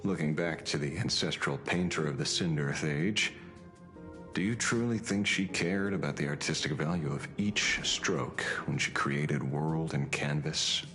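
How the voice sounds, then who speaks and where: A man's voice: very deep voice, measured pace, sharp tone, faint music behind, heavily acted. An actor playing a world-weary, mercenary older male detective in a video game, with a dry sardonic manner. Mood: earnest, reflective.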